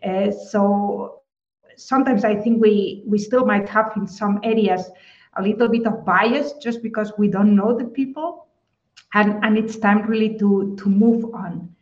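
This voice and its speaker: A woman's voice: medium pitch, fairly even intonation, unhurried, a little mellow. A woman with a Spanish accent speaking as a panelist in an online discussion, thoughtful, with many small restarts. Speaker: webinar panelist. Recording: echoey dull webinar audio.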